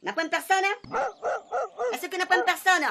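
A man's voice: very high voice, steady tone, brisk, background noise. A man playing a cheeky, joking police sergeant sidekick with a Réunionese accent in a radio comedy serial. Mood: eager, jokey. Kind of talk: French and Creole radio comedy acting.

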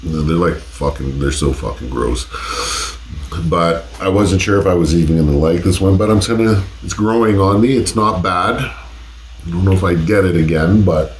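Deep-voiced congested man in his forties. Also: normal speed, echoey room, slight background noise, rough recording. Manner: laid-back, swears casually. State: congested from a cold. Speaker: host. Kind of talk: casual taste-test vlog. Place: home office, indoors. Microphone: desk condenser mic.

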